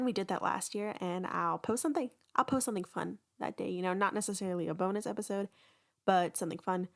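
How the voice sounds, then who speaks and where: A woman's voice: mid-range voice, vocal fry. A woman hosting a podcast, talking casually and informally in a self-deprecating way. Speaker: podcast host and creator. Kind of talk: casual chatty podcast announcement.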